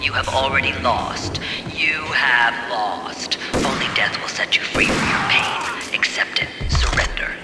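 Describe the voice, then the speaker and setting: Ominous game villain, echoing, hugely swinging pitch, commanding, taunting, loud music. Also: male voice, big pitch swings, unhurried, hardly any pauses. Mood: taunting, cold.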